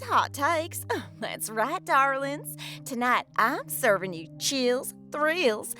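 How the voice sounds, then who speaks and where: A woman playing a bawdy, teasing horror show hostess, purring her innuendos in a breathy voice and dropping in flirty laughs. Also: high voice, fairly bright tone, heavily acted. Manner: innuendo-laden, drawling, teasing. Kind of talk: campy late-night horror hosting.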